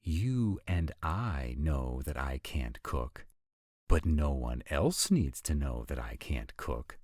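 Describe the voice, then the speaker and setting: Male actor as sardonic vampire, audio roleplay, husky rumble, unhurried, exasperated. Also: sing-song, a little mellow, no room sound, clean studio sound, heavily acted. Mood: puzzled, proud. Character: grumpy male vampire mate, sardonic and proud. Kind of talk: scripted roleplay audio drama.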